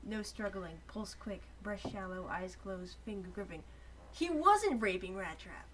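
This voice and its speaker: A woman's voice: mid-range voice, lively intonation, unhurried, a bit bright. A woman reading fan fiction aloud informally as a co-host, giggly and often stumbling over her words. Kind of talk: informal dramatic reading with amused chat. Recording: very noisy home recording with clicks.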